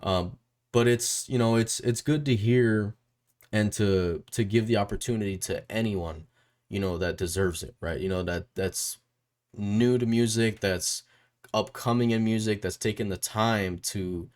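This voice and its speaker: A man's voice: fairly low pitch, fairly even intonation, unhurried, a little mellow. A man hosting a casual interview as a music YouTuber, rambling, repeating his phrases and often checking in with a questioning tag. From Puerto Rico or Puerto Rican family.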